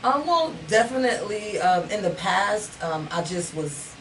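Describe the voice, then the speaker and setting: A woman stage actor answering questions in a relaxed, halting way. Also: mid-range voice. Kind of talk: casual interview chat. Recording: distant mic with noisy background.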